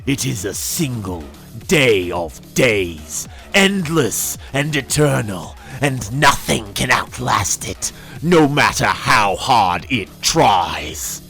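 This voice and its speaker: A man reading dark science fantasy as dramatic narration, with theatrical, menacing emphasis and deliberate pauses. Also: mid-range voice, very animated, gravelly. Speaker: narrator reading an audiobook excerpt. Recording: music under the voice. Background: dramatic background music.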